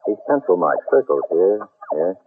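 An actor playing a clipped, wisecracking, take-charge American adventurer in an old-time radio drama. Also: male voice, low voice, very animated, moderate pace, breathy edge, exaggerated voice. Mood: probing, skeptical. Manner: clipped, wisecracking, hard-boiled. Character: brisk, take-charge American adventurer narrating his exploits. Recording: muffled vintage radio recording with music.

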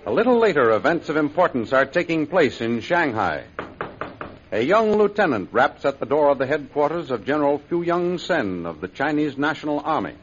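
A man's voice: fairly high pitch, very animated, normal speed, a little hiss or hum. A man narrating an old-time radio adventure serial in a dramatic scripted style. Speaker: announcer-narrator. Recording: dull old radio recording with music.

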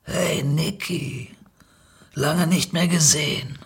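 A woman's voice: low voice, steady tone, slow pace, breathy edge, voice pushed up, full character voice. A woman voicing a shady, worn-out male drug dealer in a dramatic story reading, with a sly, half-whispered drawl. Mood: sly, familiar. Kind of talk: dramatic fan fiction reading.

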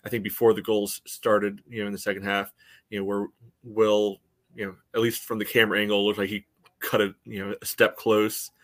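Low-voiced man talking sports, dry sound. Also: little pitch movement, fairly bright tone, no echo. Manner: halting, peppered with "you know". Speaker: podcast co-host. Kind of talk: casual sports discussion.

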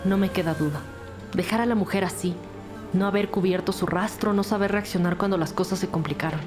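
An actress playing a determined police detective in an audio drama, speaking in a businesslike, direct way. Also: female voice, mid-range voice, fairly quick, over music, close to an everyday voice. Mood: serious, determined.

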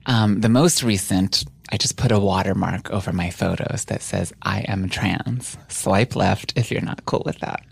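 Deep-voiced woman, gravelly and dry. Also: unhurried, hardly any pauses, dry recording. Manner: frank, wry storytelling with laughs. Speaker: podcast guest. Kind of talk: candid casual chat.

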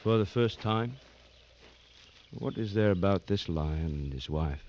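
An actor in an old radio drama playing a calm, watchful safari tracker who speaks in a terse, measured, stoic way. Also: male voice, low voice. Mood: grave, steady. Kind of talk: 1940s-60s radio drama acting. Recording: dull, noisy old radio recording.

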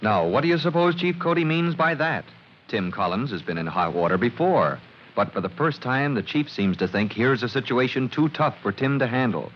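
An actor narrating a 1940s radio serial in a measured, suspenseful announcer's style. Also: male voice, mid-range voice, animated, lightly acted. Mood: suspenseful, announcing. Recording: noisy, dull vintage radio recording.

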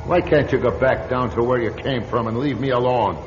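A man's voice: medium pitch, a little fast, close to an everyday voice. Flustered priest played by a man in echoing space. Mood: defiant, muttering. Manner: excitable, muttering, theatrical. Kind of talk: old-time radio drama acting. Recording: dull, noisy old radio recording, echoing hall.

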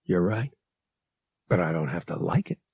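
A man's voice: low voice, animated, moderate pace, creaky, darkish tone, slightly rough, no room sound, clean studio sound, pitched below the performer's own voice, full character voice. A man voicing a brash, stubborn young smuggler pilot with a blunt, defiant manner in an audiobook. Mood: dull, defiant.

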